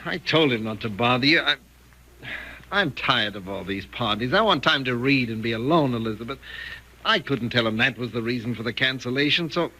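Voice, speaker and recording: An actor playing a controlling, irritable husband feigning tender concern in a 1940s radio thriller, stern and insistent. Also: male voice, medium pitch, expressive, a little fast, pushed and bright, low-quality recording. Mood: defensive. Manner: stern, clipped, imperious, insistent. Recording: vintage 1940s radio recording.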